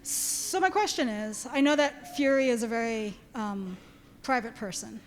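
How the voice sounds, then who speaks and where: A woman's voice: a little high, animated, unhurried. A woman from the Bronx asking a question at a live event, earnest and chatty. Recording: reverberant hall with faint noise.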